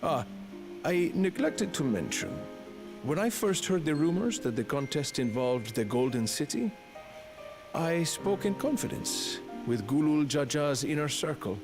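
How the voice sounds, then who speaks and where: An unhurried, swooping male schemer from a video game. He is oily and grandiose, flattering his master while coldly ambitious, with music playing underneath. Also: a little high. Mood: quietly sinister. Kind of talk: video game cutscene acting.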